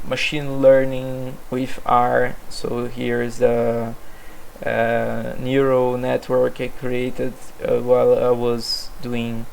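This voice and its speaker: Brazilian guy, portuguese native, flat monotone delivery, lots of uhs, faint room noise behind. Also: medium pitch, gravelly, poor audio. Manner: hesitant, many fillers and restarts. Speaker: software developer. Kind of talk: self-presentation monologue.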